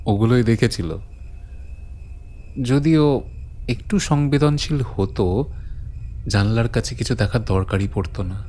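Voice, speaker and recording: A man narrating a horror audio story as a brooding storyteller, deliberate and suspenseful with dramatic pauses. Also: mid-range voice, a little mellow. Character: brooding male storyteller recounting eerie legends to his friend.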